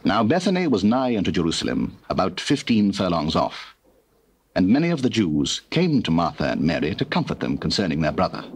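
A man with a British accent reading dramatized scripture as narrator, measured and solemn. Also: low voice, wildly swooping pitch, slightly rough. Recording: hissy old cassette recording.

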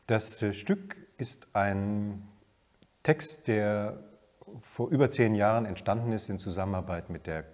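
Older man with a low, measured voice. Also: a little mellow, big-room sound. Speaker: theatre maker. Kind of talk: making-of interview.

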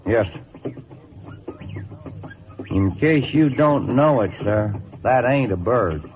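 An actor playing a loyal, plain-spoken cavalry sergeant in a radio drama, with an American accent and folksy, wary delivery. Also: male voice, mid-range voice, expressive, slow pace, faint music behind. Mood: respectful, wary. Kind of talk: old-time radio drama acting. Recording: tinny, faintly noisy old radio broadcast.

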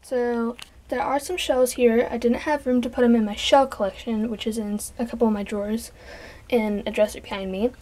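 A young woman, congested from allergies, chatting casually and softly as she shows off her collection, sometimes dropping to a whisper. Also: a little high, steady tone, brisk. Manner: chatty, rambling, soft, drops into whispers. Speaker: vlogger. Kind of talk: casual show-and-tell vlog. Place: bedroom. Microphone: handheld camera.

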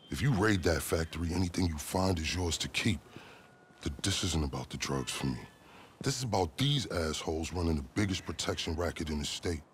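Very deep-voiced, smooth, scheming cop played by a man. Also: a little hiss or hum, close to an everyday voice. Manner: deliberate, verbose, formal vocabulary mixed with swearing. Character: smooth, calculating rookie cop with a grudge against corruption. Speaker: police officer. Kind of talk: video game cutscene acting.

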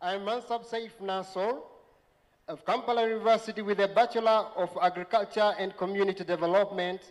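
A man's voice: high voice, fairly even intonation, fairly quick. A young man from Zanzibar with a Tanzanian accent, reading a formal prepared speech as representative of a graduating class. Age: young adult. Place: large event tent. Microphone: handheld microphone. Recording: reverberant PA sound in large tent.

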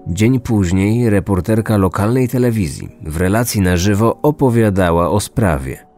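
A man's voice: on the low side, animated, moderate pace, dark tone. A man reading scripted true-crime narration as a podcast host. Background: music.

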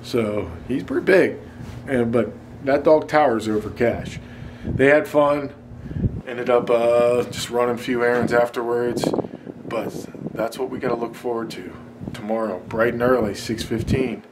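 A man's voice: mid-range voice, measured pace, background noise. An American man in his forties chatting casually and informally to viewers as a vlogger. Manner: informal, colloquial. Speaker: outdoor vlogger. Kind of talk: casual vlog chat.

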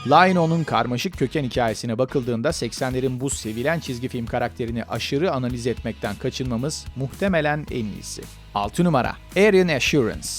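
A man's voice: mid-range voice, fairly quick, few pauses, faint music behind. A man reading scripted narration as the narrator of a countdown video.

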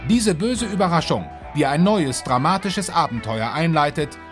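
A male narrator setting up an adventure drama. His delivery is scripted, measured and suspenseful, slightly high in pitch, with light music behind it. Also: animated.

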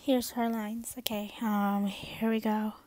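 A woman's voice: mid-range voice, little pitch movement, takes it slowly, a little mellow, no room sound. A young woman rambling casually in an informal amateur vlog. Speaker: amateur voice impressionist. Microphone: earphone mic, phone at arm's length.

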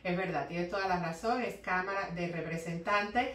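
A woman's voice: on the low side, normal speed, a bit bright. Cuban woman lawyer in roomy office. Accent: Cuban Spanish. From Cuba. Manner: explanatory, reads questions aloud, mixes in English words. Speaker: immigration lawyer. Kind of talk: live Q&A, answering viewer questions. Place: law office, indoors. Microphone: webcam at desk distance. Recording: echoey office, voice a bit far from mic.

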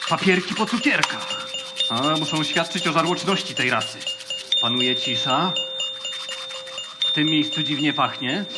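A man's voice: fairly high pitch, expressive, a little slow, long pauses. A man playing an eager, naive and timid junior space officer in a children's audio play, hesitant and blustering. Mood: nervous. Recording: music under the voice.

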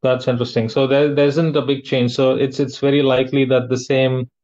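A man's voice: medium pitch, little pitch movement, fairly quick, darkish tone, lo-fi sound. A man hosting a podcast interview, asking questions in a hesitant, tentative way. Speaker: podcast host.

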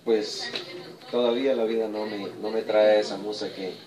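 A man's voice: fairly low pitch, level tone, moderate pace, crowd noise. A man in his forties from El Salvador, answering interview questions casually in a modest, hesitant, reflective way. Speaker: guest, romantic music singer and painter. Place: small indoor room. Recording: echoey room, distant mic, people audible behind.

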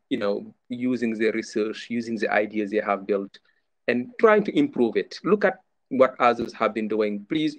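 Low-voiced researcher guy on a video call urging students on, lots of you know. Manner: encouraging, pleading, with many fillers. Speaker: researcher and educator on a panel. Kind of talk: panel discussion answer.

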